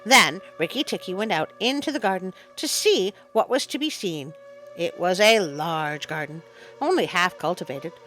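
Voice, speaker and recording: A woman reading a story aloud in an expressive, dramatic storytelling style. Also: on the low side, moderate pace, faint music behind, low-quality recording. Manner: dramatic read-aloud, with interjected asides. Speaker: storyteller, show host. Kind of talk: expressive scripted story narration.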